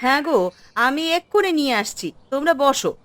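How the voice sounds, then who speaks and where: A woman playing a plaintive, fussing village housewife in a folk-tale cartoon, expressive and exclamatory. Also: high voice, a little fast, faint music behind. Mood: eager.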